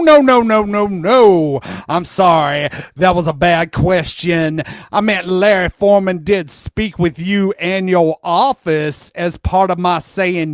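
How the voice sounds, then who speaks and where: A man's voice: high voice, no echo, studio quality. A man playing a lawyer questioning a witness in a dramatic reading of a deposition, probing and rephrasing his questions.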